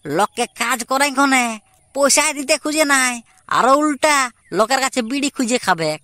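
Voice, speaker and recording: A woman's voice: sits high, animated, a little fast, pushed and bright. A woman voicing a cautious, cynical young village lad with a rural Purulia Bengali accent in an amateur comic cartoon.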